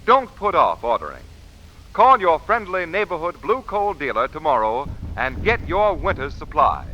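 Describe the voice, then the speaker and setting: A man's voice: on the high side, sing-song, moderate pace, highs cut off. An American man announcing in an emphatic, formal, scripted 1940s radio style. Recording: old radio recording, faint noise.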